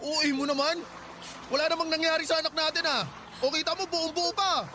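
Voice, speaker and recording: A man's voice: very high voice, fairly quick. An actor playing a careless young father and gambler, whiny and evasive, in a Tagalog radio drama. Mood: defensive, protesting. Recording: noisy street ambience with vehicles.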